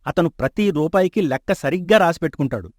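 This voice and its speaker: An actor voicing a rich, kindly older merchant and father in an animated story, speaking in a calm, assured, fatherly way. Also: a little high, fast, no echo. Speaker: shop owner. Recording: dry close studio mic.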